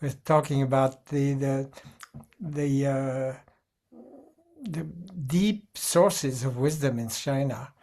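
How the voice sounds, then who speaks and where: Guy with medium pitched voice, reflective, pauses a lot, repeats words while searching. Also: darkish tone. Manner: hesitant, pausing and repeating words. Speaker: participant giving thanks at an online gathering. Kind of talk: informal reflective sharing. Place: online video call.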